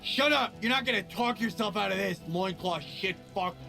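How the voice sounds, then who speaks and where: A man's voice: high-pitched, moderate pace, creaky, pushed and bright, faint music behind, heavily acted. An actor playing a foul-mouthed male mercenary, aggressive, taunting and out of patience. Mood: menacing, furious.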